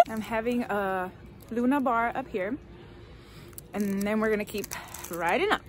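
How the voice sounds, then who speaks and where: A woman's voice: fairly high pitch, a little hiss or hum. A young adult woman chatting casually and exuberantly to a handheld camera outdoors as she hosts a vlog. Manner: exuberant, whooping, playful. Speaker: vlog host. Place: outdoors on roadsides and in car parks. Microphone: handheld camera at arm's length. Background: music.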